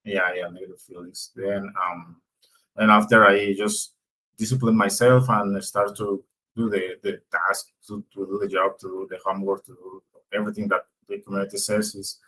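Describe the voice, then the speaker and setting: Husky low-voiced man, speaking haltingly. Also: flat delivery, sharp tone, poor audio. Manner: hesitant, halting, searching for words. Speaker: member of a job-search coaching group. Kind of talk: casual, reflective personal sharing.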